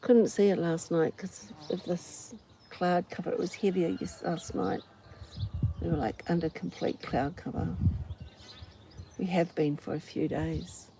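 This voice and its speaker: A New Zealand woman talks in a low voice with plenty of gravelly fry. She muses without a script, in short, unhurried phrases. She is outdoors, with faint buzzing of flies and farm ambience behind her. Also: steady tone, background noise. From Auckland, New Zealand. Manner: musing, unhurried, pausing between short phrases. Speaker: host of a personal ramble vlog. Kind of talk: unscripted reflective outdoor ramble. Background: flies, insects, farm animals.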